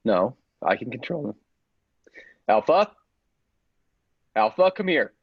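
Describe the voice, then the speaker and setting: A man's voice: medium pitch, sing-song, slow pace, breathy edge, no echo, dull audio, full character voice. A man playing a persuasive, controlling scientist in an old-style radio play, with a commanding, insistent manner. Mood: eager, commanding. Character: persuasive, controlling male laboratory scientist with dangerous ambitions.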